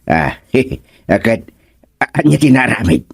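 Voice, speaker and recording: A man's voice: on the low side, very animated, measured pace, vocal fry, husky, heavily acted. An actor playing an older, hesitant but curious village man in a comic radio serial, drawling and repeating words in an Ilocano accent. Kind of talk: comedic radio serial dialogue.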